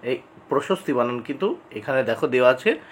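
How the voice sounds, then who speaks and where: A man giving an instructional exam lesson, reading out questions and answers deliberately, repeating key points and addressing students directly. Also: mid-range voice, very animated, breathy edge. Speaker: exam tutor.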